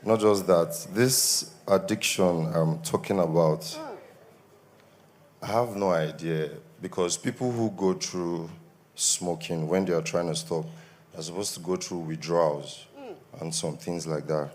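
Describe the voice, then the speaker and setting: A young Nigerian man with a Nigerian accent gives an earnest testimony at a church service, his voice a little worn from shouting. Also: low-pitched, unhurried, a little hiss or hum. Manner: earnest, grows more emphatic. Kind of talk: spoken testimony.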